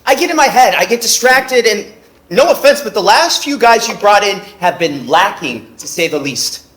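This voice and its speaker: Guy acting as a flippant young fencer, high voice, bounces all over. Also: lively intonation, normal speed, fairly bright tone. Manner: teasing, flippant. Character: cocky, tired young male fencer. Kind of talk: stage play acting. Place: theater stage.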